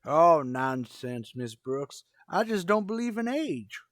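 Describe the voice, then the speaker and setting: A man's voice: on the high side, big pitch swings, takes it slowly, no echo, clean studio sound. A man playing a smitten, stubborn old custodian with folksy, rustic turns of phrase in a radio comedy. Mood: smitten, confessing.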